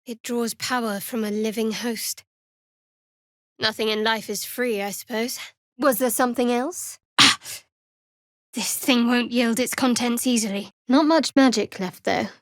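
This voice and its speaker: A sardonic young woman, a cleric of a dark goddess in a fantasy video game. Her voice sits a little high, and she speaks in a wry, clipped way that keeps others at a distance. The sound is very dry, free of any room. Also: fairly even intonation, a little slow, no echo. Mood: guarded, matter-of-fact.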